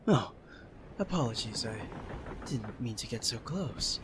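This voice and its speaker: A man voices a suave pirate captain in an audio roleplay. He is self-assured, courteous and teasing, with an unhurried pace and lively swings in pitch. Noticeable ambient noise sits behind him. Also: natural voice. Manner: smooth, courteous, playfully smug. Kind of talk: ASMR roleplay acting.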